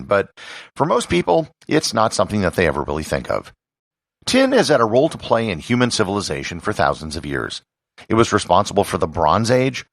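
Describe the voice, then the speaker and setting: An American man from Wisconsin hosting a podcast, delivering scripted educational narration in a husky, gravelly voice with measured, emphatic phrasing. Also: fairly low pitch, fairly bright tone, dry recording. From Wisconsin, United States.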